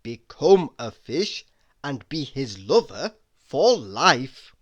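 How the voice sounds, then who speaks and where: A reader delivers tongue-in-cheek motivational quotes at an extremely slow pace. The delivery is dramatic and deliberate, with lively swings in pitch and clear pauses between phrases. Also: highs cut off. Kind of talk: reading humorous motivational quotes aloud.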